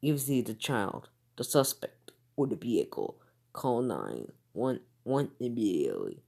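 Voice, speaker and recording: An emergency alert announcer reading a scripted warning in a formal, flat manner. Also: medium pitch, measured pace, gravelly.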